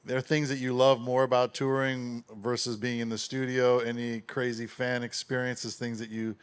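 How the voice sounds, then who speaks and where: A man's voice: medium pitch, flat delivery, moderate pace, clean tone. A man hosting an interview, enthusiastic and complimentary as he asks about the guest's music. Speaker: interviewer host. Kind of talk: interview questions.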